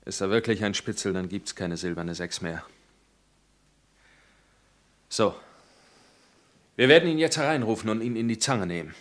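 A man's voice: lowish voice, normal speed, long pauses, lightly acted. A man acting a stern young resistance leader who interrogates and commands, in a radio drama. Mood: commanding. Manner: commanding, interrogating, shouting in bursts. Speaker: radio play actor.

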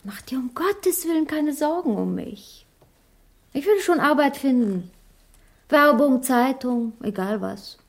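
An actress playing a bitter, sarcastic wife in a 1980s radio drama. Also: female voice, high-pitched, expressive, unhurried, creaky, full character voice. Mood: bitterly sarcastic. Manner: biting, sarcastic. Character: bitter, scornful wife, failed playwright.

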